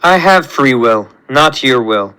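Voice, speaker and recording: A man voicing a solemn, righteous superhuman who defies his creator, speaking in a measured, earnest way in a superhero story. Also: mid-range voice, animated, pushed and bright, smooth, voice pushed down, poor audio. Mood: defiant. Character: solemn, righteous young male superhuman rebelling against creator.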